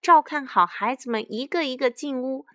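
A woman's voice: medium pitch, lively intonation, moderate pace, fairly bright tone, no echo, close to an everyday voice. A woman voicing a caring, protective mother hen in a children's story, speaking earnestly. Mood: urgent.

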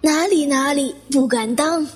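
Elderly widow played by a woman, courteous and self-effacing, high and unhurried, reverberant radio drama. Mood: modest, self-effacing. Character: polite elderly widow, modest, gracious hotel guest. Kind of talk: Chinese radio drama acting. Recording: long reverb, music underneath.

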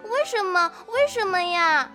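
A woman's voice: very high-pitched, lively intonation, measured pace. A woman playing a curious, earnest little child in an audio drama, full of questions. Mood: curious, pleading. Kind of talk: Chinese audio drama acting. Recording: background music behind the voice.

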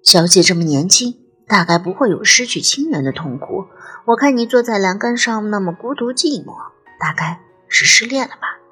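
A woman's voice: mid-range voice, lively intonation, normal speed, sharp tone, slightly rough, soft background music, full character voice. Woman voicing a sly, worldly-wise young boy flower seller. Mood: coaxing, knowing. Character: uncannily worldly thirteen-year-old boy flower seller, sly and fluent.

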